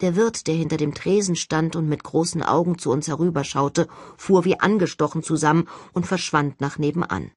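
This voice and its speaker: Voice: medium pitch, normal speed, hardly any pauses, dry recording, clean studio sound. An audiobook narrator reading a novel expressively in a scripted literary style.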